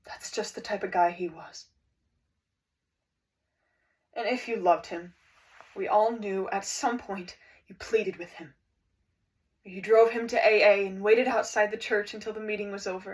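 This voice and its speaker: A woman's voice: medium pitch, far from the mic, lightly acted. A woman performing an anguished, grieving friend in a dramatic stage monologue, telling it with emphatic, accusing weight. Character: anguished friend grieving a self-destructive alcoholic loved one. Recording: echoey room.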